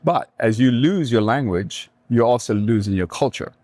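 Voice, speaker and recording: A middle-aged man from Jamaica talking reflectively as an interview guest, pausing often mid-thought. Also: mid-range voice, expressive, a little mellow, no echo. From Kingston, Jamaica. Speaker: restaurateur and community leader, podcast guest.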